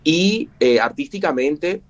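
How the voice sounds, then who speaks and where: A man's voice: on the high side, animated, slow, lo-fi sound. A man in his forties from Cuba, a musician guest talking in an interview, hesitant, with many fillers and restarts. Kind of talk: interview conversation.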